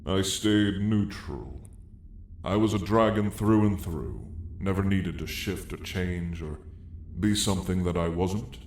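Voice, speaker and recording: A man's voice: sits low, a little slow, creaky, darkish tone. A man playing an ancient, weary dragon lord with dry menace, telling his tale in a grand, wry, old-fashioned way for an ASMR roleplay. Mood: musing, nostalgic. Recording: roomy echo, faint background noise.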